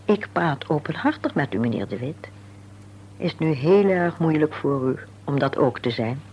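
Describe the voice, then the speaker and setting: An actress playing a composed, tactful middle-aged wife in a radio play, speaking formally and deliberately with polite insistence. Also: female voice, sits low, expressive, a little mellow. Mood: frank, probing. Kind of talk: Dutch radio play acting. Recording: dull, hissy old radio recording with hum.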